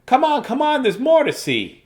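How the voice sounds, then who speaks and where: A man's voice: very high-pitched, huge pitch swings, moderate pace, a little hiss or hum, voice pushed up, heavily acted. A man voicing a cheery, chatty male mouse who shows off a house in sing-song rhymes for a children's storybook. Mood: chirpy. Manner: sing-song rhyming, tour-guide patter. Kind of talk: children's storybook read-aloud.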